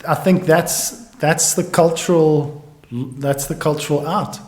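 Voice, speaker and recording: A man chats as a podcast guest, working his ideas out aloud in halting restarts. His voice sits a touch high for a man. The room around him rings with echo. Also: fairly even intonation, a little mellow. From South Africa. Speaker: guest economist. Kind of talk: casual podcast conversation.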